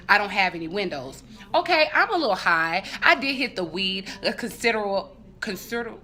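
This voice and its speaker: Female storytime vlogger: forceful bright tone, mid pitch, animated, foul-mouthed, chatty and comedic with asides to the audience. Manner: animated, profane, acting out dialogue. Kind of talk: casual comedic storytelling to viewers.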